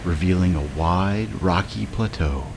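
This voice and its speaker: A man narrating a scripted guided meditation in a measured way, with long pauses between short phrases. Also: low-pitched, gravelly, noisy background.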